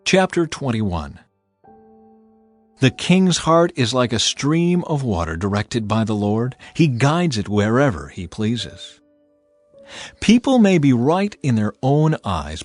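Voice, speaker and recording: A man reading scripture as scripted dramatized narration, in a measured, emphatic and expressive way. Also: fairly low pitch. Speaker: audiobook Bible narrator.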